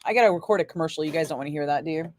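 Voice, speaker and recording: A middle-aged woman radio DJ chatting casually with viewers between breaks, profane and quick to laugh. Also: low voice, darkish tone, a little hiss or hum. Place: radio studio.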